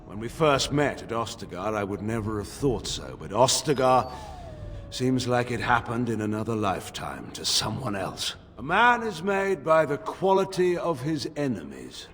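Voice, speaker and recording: An actor playing a proud, bitter middle-aged general in a game cutscene, speaking with grandiose, commanding oratory. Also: male voice, mid-range voice, big pitch swings, normal speed, a little hiss or hum. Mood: grim, resolute. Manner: oratorical, forceful, grandiose delivery. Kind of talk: dramatic game cutscene acting. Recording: long echoing hall, faint music behind.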